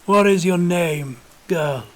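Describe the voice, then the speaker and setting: Guy doing old zealot officer for an audiobook, wheezy, calculating, higher pitched preachy drawn-out delivery. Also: slight background noise. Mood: calculating, inviting. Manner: intoning, preacherly, rustic archaic turns of speech.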